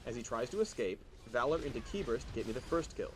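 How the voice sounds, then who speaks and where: A man's voice: mid-range voice, expressive, fast talker. Man narrating gameplay over noisy background. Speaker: game tutorial narrator.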